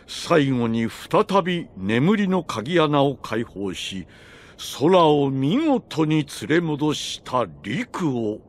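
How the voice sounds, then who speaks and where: Slow, solemn old wizard master. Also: male voice, medium pitch, heavily acted. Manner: grave, ceremonial, deliberate. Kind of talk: formal Japanese game cutscene acting.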